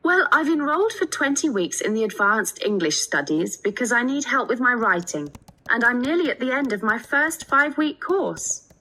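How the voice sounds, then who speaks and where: A woman's voice: fairly high pitch, big pitch swings, moderate pace, pushed and bright, exaggerated voice, rough recording. An actress playing a friendly Japanese student in her twenties in a scripted language test dialogue, speaking carefully. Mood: polite. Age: 20s. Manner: careful, spells things out clearly. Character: friendly 28-year-old Japanese woman student seeking a homestay.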